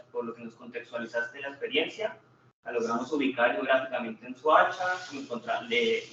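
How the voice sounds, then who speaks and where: A man moderating a seminar informally, halting as he repeats and rephrases himself between speakers. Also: medium pitch, fairly bright tone. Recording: very echoey, distant muffled mic, faint noise.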